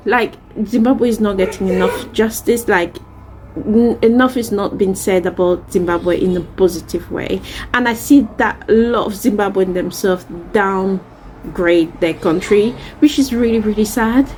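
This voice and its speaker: A woman's voice: medium pitch, a little slow, darkish tone, low-quality recording. Effusive woman vlogger with background noise. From Central Africa, Congolese and Cameroonian. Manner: effusive, emphatic, repeats words for emphasis. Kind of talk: casual chat to camera.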